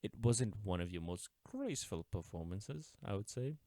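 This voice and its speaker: Man acting a deep-voiced, uptight government agent. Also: very animated, warm tone, dry recording, clean studio sound. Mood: smug, taunting. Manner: formal, deliberate, taunting with wistful undertones. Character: uptight male government agent, smug yet mourning a lost friend. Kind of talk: scripted audio roleplay drama, addressing the listener.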